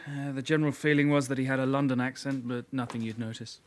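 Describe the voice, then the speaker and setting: A man's voice: mid-range voice, steady tone, fast talker, lightly acted. A man acting an earnest young detective constable in a radio drama, reporting facts with small hesitations. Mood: factual.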